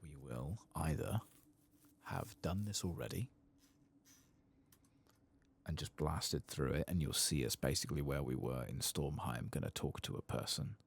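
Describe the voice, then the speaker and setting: A man giving soft-spoken, partly whispered ASMR commentary on a video game, muttering hesitantly as he thinks aloud. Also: extremely low pitch, unhurried, gravelly, long pauses, breathy edge. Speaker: host of a video game playthrough channel.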